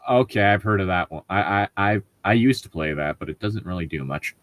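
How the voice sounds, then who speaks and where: A man co-hosting a casual story-reading chat, talking in a halting way and often rephrasing himself. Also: low-pitched, no room sound. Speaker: co-host reading online stories.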